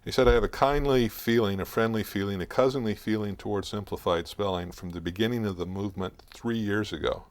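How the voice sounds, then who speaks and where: A man speaking as an expert guest in an interview, explaining in a halting, deliberate, scholarly way. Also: lowish voice, dry recording.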